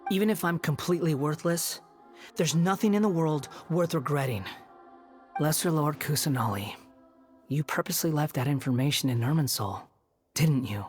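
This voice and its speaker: A woman plays a proud, bitter young man, a former villain, in a low voice. The delivery is dry, sardonic and aloof, scripted for a video game, with music underneath. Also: normal speed, a little mellow, lightly acted. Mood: scornful, guarded.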